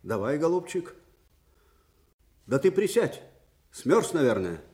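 An actor playing a proud old Russian field marshal, fiery and sardonic, in theatrical, emphatic radio drama style. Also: male voice, a little high, big pitch swings, takes it slowly, darkish tone. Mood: courteous, commanding. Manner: theatrical, emphatic, with repeated phrases. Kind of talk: Soviet-era radio drama acting.

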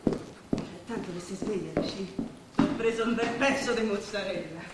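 A woman's voice: medium pitch, moderate pace, close to an everyday voice. A woman acting on stage as a weary, bossy ageing Roman housewife who grumbles and bustles through her chores. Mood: weary grumbling. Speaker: stage actor. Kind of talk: theatrical stage drama dialogue. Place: theatre stage, recorded from afar. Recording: echoey stage, distant mic, noisy.

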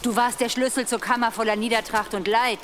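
A woman's voice: on the high side, fairly even intonation, brisk, a bit bright, slight background noise, natural voice. An actress playing an eerie, vengeful woman in a radio play, taunting and ominous as she accuses. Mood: accusing, furious. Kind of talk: radio drama acting.

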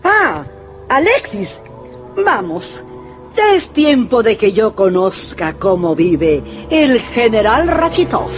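Imperious old grandmother, wildly animated. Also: on the high side, slow, soft background music. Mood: haughty, mocking. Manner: haughty, mocking, commanding. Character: imperious, sharp-tongued, rich old Russian grandmother. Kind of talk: radio drama acting.

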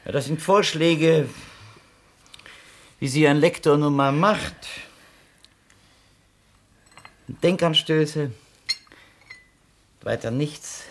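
An actor playing a well-meaning, talkative publisher's editor in a 1970s German radio play, speaking in wordy, tentative phrases. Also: male voice, a little high, slow, pauses often, a little hiss or hum. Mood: placating. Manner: wordy, tentative, self-qualifying.

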